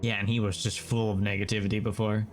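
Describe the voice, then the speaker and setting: A woman's voice: rumbling low, flat delivery, quick pace, soft background music. A woman gaming streamer making casual reaction comments during a playthrough.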